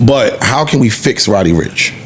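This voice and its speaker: A man's voice: lowish voice, animated, normal speed, breathy edge, rough recording. A man co-hosting a podcast, talking casually and emphatically in slangy, conversational speech about the music business. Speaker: podcast co-host. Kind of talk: casual music-industry discussion.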